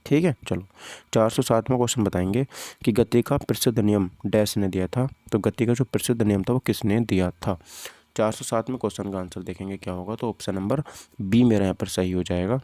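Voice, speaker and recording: A man's voice: lowish voice, brisk, mellow, no room sound. A man teaching an informal physics exam-prep class, conversationally repeating points and posing questions to students. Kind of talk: informal exam-prep lesson, walking through questions and answers.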